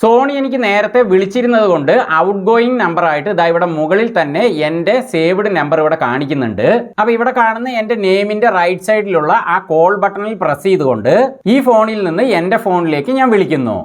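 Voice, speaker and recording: A man's voice: sits high, lively intonation, a little fast. A man presenting a tech tutorial, explaining each step in a methodical, instructional way.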